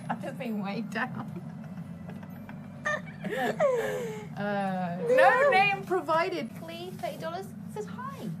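Very noisy, high-voiced boisterous British woman. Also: very animated, drawn-out words, long pauses. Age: young adult. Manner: boisterous, sweary, joking. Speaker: co-host reading donations. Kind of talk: casual livestream banter. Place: studio kitchen, cooking noise behind. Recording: very noisy kitchen livestream.

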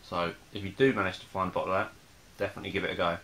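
A young man casually reviewing a beer at home, talking in a relaxed, hesitant way with many fillers. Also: low-pitched, racing. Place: small room in front of a plain wall.

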